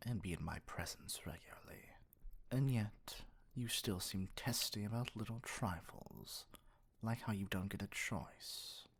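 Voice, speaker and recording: Man playing a slow-drawling, haughty evil king. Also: fairly low pitch, breathy edge, heavily acted. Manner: theatrical, condescending, drawling. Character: spoiled, haughty evil king venting to an advisor. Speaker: ASMR roleplay voice actor. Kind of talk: ASMR roleplay monologue.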